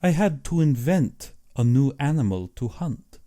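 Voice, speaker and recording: A man's voice: mid-range voice, animated, unhurried, dark tone, close to an everyday voice. A man voicing an urbane, boastful, cold-blooded older general and hunter, pompous and precise in an audiobook. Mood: smug, relishing. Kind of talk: audiobook character acting.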